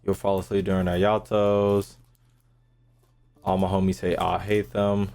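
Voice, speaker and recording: A man's voice: low-pitched, little pitch movement, measured pace, gravelly, darkish tone, clear, resonant tone. A man livestreaming a game, chatting casually to his viewers in slangy, profane, joking talk. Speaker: game streamer.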